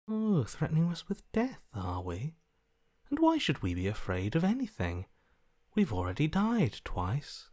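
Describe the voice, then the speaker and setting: A man playing a cold, bored, detached inner voice in a video game, aloof and deadpan, indifferent to death. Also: medium pitch, no room sound, clean studio sound, exaggerated voice. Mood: aloof, challenging. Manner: deadpan, aloof, slow and deliberate. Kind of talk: video game character voice acting.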